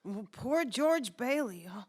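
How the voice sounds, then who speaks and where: Apprentice angel, eager, fretful, kindly, flustered sympathy, old-time radio drama. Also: male voice, very high-pitched, big pitch swings, takes it slowly, husky, full character voice. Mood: worried, eager.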